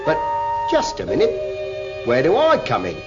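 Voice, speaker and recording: A man's voice: fairly high pitch, wildly swooping pitch, moderate pace. A man voicing a skeptical, colloquial British working-class husband in a 1940s cartoon. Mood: curious, doubtful. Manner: colloquial, grumbling. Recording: muffled 1940s film soundtrack, loud music.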